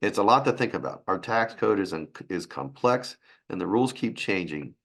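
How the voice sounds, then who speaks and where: A man with a raspy, hoarse voice from a sinus infection, presenting a financial webinar in a halting way. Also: on the low side, lively intonation, a bit bright. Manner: halting, with restarts and fillers.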